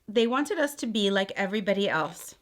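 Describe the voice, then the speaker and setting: A woman's voice: medium pitch, lively intonation, a little fast, slight background noise, close to an everyday voice. Woman reading as a patient, reflective storybook grandmother.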